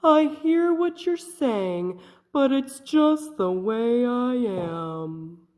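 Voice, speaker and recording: A woman voicing a glum, gloomy male fish in a storybook read-aloud, in a slow, mopey sing-song drawl. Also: a little high, lively intonation, darkish tone, pitched above the performer's own voice.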